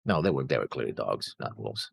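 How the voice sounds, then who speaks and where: Male reaction co-host, deep register, very varied intonation. Casual, halting, word-searching delivery. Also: expressive, vocal fry, slightly rough, dry recording. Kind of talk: casual discussion, talking through notes.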